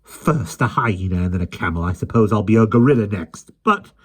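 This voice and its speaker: A man's voice: mid-range voice, wildly swooping pitch, measured pace, slightly rough, voice pushed up, full character voice. A man voicing a stern, prim female nanny who takes offense easily, speaking in a haughty, clipped way. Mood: wrathful.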